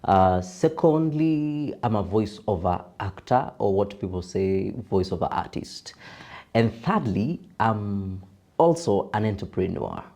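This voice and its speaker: A young Kenyan man with a deep voice, a broadcaster and voiceover artist chatting as a podcast guest, laughing easily and mixing in Swahili. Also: expressive, measured pace, mellow. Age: young adult. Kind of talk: casual interview chat.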